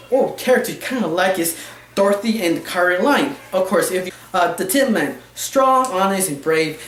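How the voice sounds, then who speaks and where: Casual female reviewer, mid pitch, opinionated and rambling, halting non-fluent phrasing, roomy echoey recording. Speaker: cartoon reviewer.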